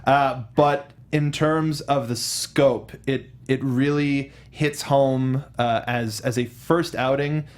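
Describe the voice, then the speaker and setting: A man co-hosting a movie review show in a casual, animated way, throwing in mock outbursts. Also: medium pitch, takes it slowly, hardly any pauses. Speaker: co-host of a film review show. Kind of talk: casual film discussion.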